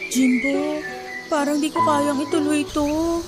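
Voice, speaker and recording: Very high-voiced anguished wife, music behind. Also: female voice, steady tone, unhurried. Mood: hesitant pleading. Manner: pleading, emotional delivery. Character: anguished, reluctant young wife pushed into desperate poverty. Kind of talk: Filipino radio drama acting.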